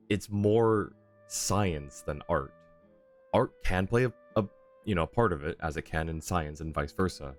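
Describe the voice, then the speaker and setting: A man giving casual, rambling live commentary as he streams a video game. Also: sits low. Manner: rambling, conversational.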